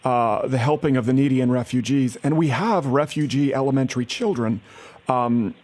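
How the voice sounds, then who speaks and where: A man's voice: mid-range voice, moderate pace, a little mellow. A man making a prank phone call, pushy and falsely sincere, often stammering and restarting his sentences. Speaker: prank caller.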